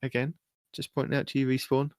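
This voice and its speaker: A man's voice: medium pitch, flat delivery, quick pace, darkish tone, clear, resonant tone, no echo. A man co-hosting a gaming podcast, talking through guides and tips in an informal, explanatory way. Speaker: podcast co-host. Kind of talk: casual gaming podcast chat, running through video guides.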